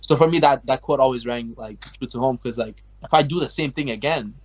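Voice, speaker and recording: A young man from Afghanistan talks at a quick clip in a mid-range voice. He rambles through his story as an interview guest, stringing thoughts together with constant fillers. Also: mid-range voice. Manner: rambling, heavy use of like and you know. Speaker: guest artist. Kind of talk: casual interview chat.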